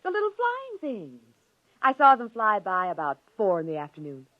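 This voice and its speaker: A woman playing a spirited wife and mother in 1950s radio drama, arch and teasing, gently scolding. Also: mid-range voice, huge pitch swings, moderate pace. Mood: breezy. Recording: dull vintage 1950s radio recording.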